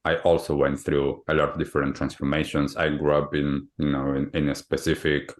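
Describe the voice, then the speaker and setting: A man's voice: very deep voice, little pitch movement, a little fast. A man from Argentina, hosting a podcast interview and often repeating words as he searches for phrasing. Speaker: podcast host.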